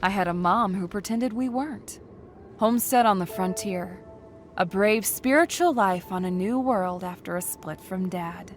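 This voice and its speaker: A woman's voice: medium pitch, moderate pace, soft background music, lightly acted. A woman playing a cynical, ruthless young rogue adventurer in a sci-fi comic dub, with a biting, commanding, sardonic manner. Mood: bitter sarcasm.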